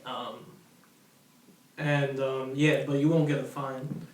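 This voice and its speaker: A man's voice: mid-range voice, level tone, slow, a little hiss or hum. A man from Brazil in casual podcast chat, blunt and swearing freely. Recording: echoey, distant from mic.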